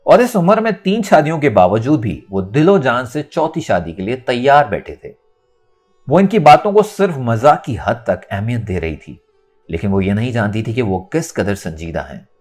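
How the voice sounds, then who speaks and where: Male narrator for serialized fiction, mid-pitched and highly animated, with music underneath. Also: moderate pace. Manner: expressive storytelling. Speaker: audiobook narrator. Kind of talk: dramatic scripted novel narration.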